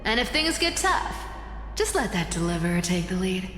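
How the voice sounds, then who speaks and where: A woman's voice: medium pitch, sing-song, normal speed, close to an everyday voice. A woman voicing a sly, breezy, worldly wanderer in a video game, with a teasing drawl and chuckles in her delivery. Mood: amused. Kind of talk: English video game voice acting. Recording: echoey hall reverb under music.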